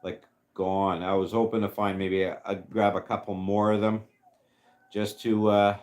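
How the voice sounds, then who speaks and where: A man in his fifties hosting a casual livestream chat, rambling and halting with many fillers as he answers viewers. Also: on the low side, flat delivery, a little mellow. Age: 50s. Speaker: livestream host reading chat.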